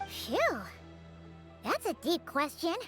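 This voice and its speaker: A woman voicing a small, childlike, friendly companion in a video game, bubbly and expressive. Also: very high voice, slow pace, faint music behind, heavily acted. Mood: thoughtful. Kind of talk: scripted video game acting.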